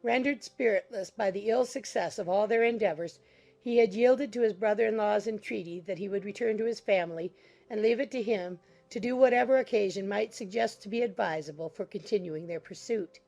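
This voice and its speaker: A woman narrates a classic novel. Her voice sits at a medium pitch, delivering formal scripted prose as quiet music plays behind. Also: fairly quick, creaky. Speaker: audiobook narrator. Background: soft background music.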